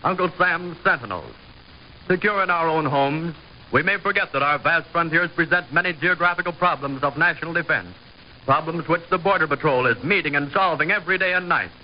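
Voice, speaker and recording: A man's voice: a little high, brisk. A man narrating a scripted vintage radio series in a formal, declamatory announcer manner. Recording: dull, hissy vintage radio recording.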